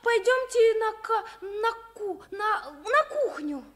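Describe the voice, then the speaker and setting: A naive little girl with an extremely high voice, in a children's radio play. She is squeaky and childlike, slowly halting over her words. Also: lively intonation, a bit bright, heavily acted. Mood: innocent.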